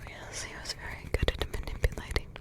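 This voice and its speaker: A woman voicing a doting grandmotherly witch in an ASMR roleplay, with a soft, tender whisper and rambling asides. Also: fairly quick, lightly acted. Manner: tender, sidetracked asides, affectionate endearments.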